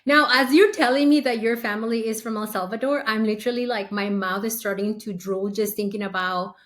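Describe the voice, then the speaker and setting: A woman's voice: mid-range voice, steady tone, a little fast, a bit bright. A woman hosting a podcast interview, upbeat and enthusiastic as she asks questions. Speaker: podcast host.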